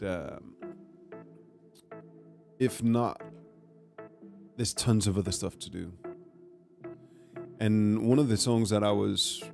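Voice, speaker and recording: A man, hoarse after a cold, chatting casually to a livestream from his studio and thinking aloud with many pauses and fillers. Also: on the low side. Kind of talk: casual chat to a livestream audience. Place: music studio. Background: his own track playing quietly underneath.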